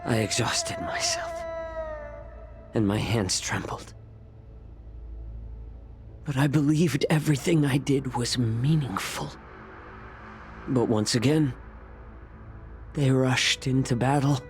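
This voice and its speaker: A worn-out fox-eared healer who talks with slow, heavy bitterness. His voice sits at an ordinary middle pitch, with a faint game score beneath. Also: faint music behind. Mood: bitter. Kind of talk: video game cutscene acting.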